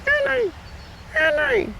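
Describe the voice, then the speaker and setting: A worried storybook mother, acted out by a man, fussing over her wayward boy. The words are stretched out and sung like an old folk song, lifted higher than plain talk. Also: lively intonation, vocal fry, a bit bright, slight background noise, exaggerated voice, rough recording. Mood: calling out. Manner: drawn-out, sing-song. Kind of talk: sung folk-song dialogue and high calling.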